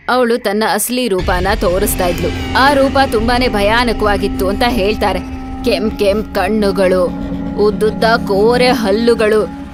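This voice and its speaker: A woman voicing a motherly, ominous eatery keeper who tells ghost tales dramatically, with loud music behind her. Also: medium pitch, natural voice.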